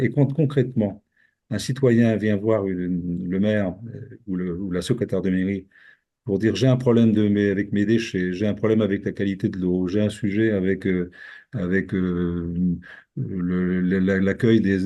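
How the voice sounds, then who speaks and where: An older man with a low, very husky voice and a somewhat mellow tone. He speaks as a mayor setting out his views, explaining earnestly and rephrasing his points for emphasis. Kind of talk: interview answer giving opinions.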